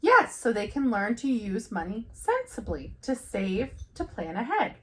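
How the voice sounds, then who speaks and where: A woman voicing a sensible, patient mother bear from a storybook, explaining in a measured way. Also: fairly high pitch, wildly swooping pitch. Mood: reasonable.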